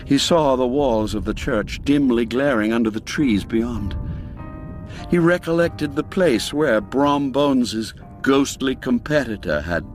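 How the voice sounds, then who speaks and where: A man narrating a classic radio story in a measured, dramatic way, dropping low and quiet for the grim moments. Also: lively intonation. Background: faint music score.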